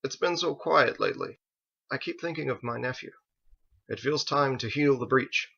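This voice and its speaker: A man voicing a quiet, wistful older man with an earnest manner in an audiobook. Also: mid-range voice, normal speed, creaky, slightly rough, pitched above the performer's own voice, exaggerated voice. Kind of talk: acted audiobook dialogue. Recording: dull lo-fi recording.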